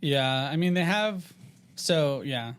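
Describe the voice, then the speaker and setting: A man co-hosting a podcast, chatting casually in a slangy, animated way. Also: on the high side, slow pace, smooth. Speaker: podcast co-host. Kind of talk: casual chat.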